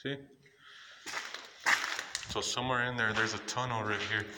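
A man casually narrating a walkthrough of a dark abandoned building, rambling and repeating himself as he points things out, filmed on a handheld phone. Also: on the low side, steady tone, moderate pace, noisy background. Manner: rambling, repetitive pointing out spots. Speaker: urban explorer vlogger. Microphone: handheld phone camera.

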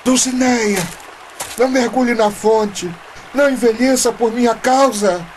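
A man's voice: very high voice, unhurried, slight background noise. A man with a Brazilian accent playing an earnest, lovesick man of forty in a radio play, melodramatic and pleading. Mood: anxious pleading.

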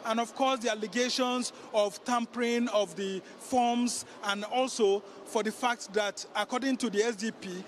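A middle-aged man with a Nigerian accent giving a measured, explanatory live report as a political correspondent. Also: very high voice, steady tone, a little hiss or hum. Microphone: handheld microphone.

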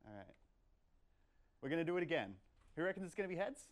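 A man's voice: a little high, very animated, fast talker. A young man presenting an informal lecture to a meetup in a lecture room, in a playful, conversational manner with plenty of "like" and "kind of". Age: young adult. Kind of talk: informal live lecture with audience questions. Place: medium-sized lecture room, indoors.

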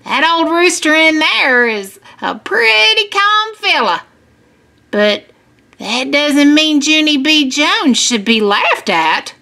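A woman's voice: high voice, very animated, slow, gravelly, bright, forceful tone, voice pushed up, full character voice. A woman voicing a kindly, folksy male farmer in a storybook, explaining things patiently and reassuringly. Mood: firm, reassuring.

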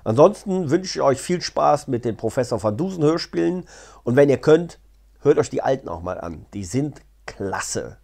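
A man talks off the cuff to his viewers. His pitch moves around a lot, in a middle register. He is colloquial and chatty, dropping in wry asides. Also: very animated, normal speed, creaky, a little mellow. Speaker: vlogger and audio drama reviewer. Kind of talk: casual unscripted explainer to camera. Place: living room, seated on a sofa indoors. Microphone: clip-on lapel mic.

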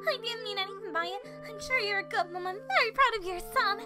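A woman voicing an excitable, dramatic teenage girl heroine in a cartoon comic dub. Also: very high voice, quick pace. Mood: apologetic. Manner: flustered, dramatic. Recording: music behind.

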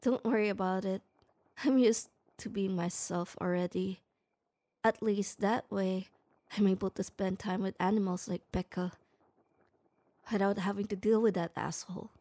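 A woman's voice: medium pitch, fairly even intonation, moderate pace, warm tone. A young woman in an ASMR roleplay, playing a shy tomboy who talks hesitantly and stammers, close to the mic. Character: shy tomboy girl, gruff but kind. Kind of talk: ASMR roleplay, speaking to the listener.

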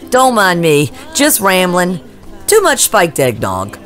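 A woman as a low-voiced, wry overseer. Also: huge pitch swings, slow pace, a bit bright, faint music behind, exaggerated voice. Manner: wry, rambling. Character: wry, weary female overseer, a community leader.

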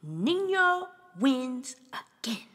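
Very slow, husky woman storyteller. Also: high voice, sing-song, a bit bright, big-room sound. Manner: theatrical, with whispers and exclamations. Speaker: author and storyteller reading aloud. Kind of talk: animated children's picture-book read-aloud.

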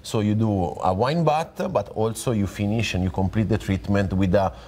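Italian guest, male, low voice, halting second-language English, playful. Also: lively intonation, background noise. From Italy. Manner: hesitant, many filler pauses, playful joking. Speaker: winemaker guest. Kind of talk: TV interview.

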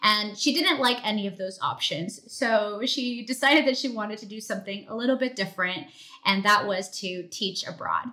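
A woman's voice: medium pitch, moderate pace, sharp tone. A woman hosting a podcast, introducing and interviewing in a conversational style. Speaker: podcast host.

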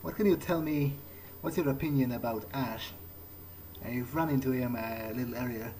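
Hesitant man musing over clear background noise. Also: mid-range voice, normal speed, darkish tone, poor audio. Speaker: gamer roleplaying a character. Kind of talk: in-character gameplay commentary.

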